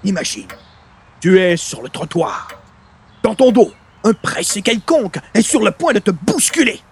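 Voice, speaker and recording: A man playing an excitable, chatty friend in an old-time radio comedy, exclaiming with familiar enthusiasm. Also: high voice, a little slow, exaggerated voice. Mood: boastful, persuading. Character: excitable, chatty friend showing off a silly invention.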